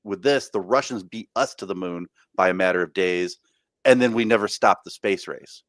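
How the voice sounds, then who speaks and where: Rambling low-voiced man podcasting. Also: moderate pace, a bit bright, dry recording, clean studio sound. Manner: rambling, frequent fillers and restarts. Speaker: podcast co-host. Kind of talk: casual podcast chat.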